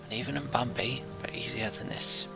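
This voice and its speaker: A man's voice: mid-range voice, fairly even intonation, fairly quick, creaky, whispered, over loud music. A man voicing a matter-of-fact scout giving a report in a fantasy game.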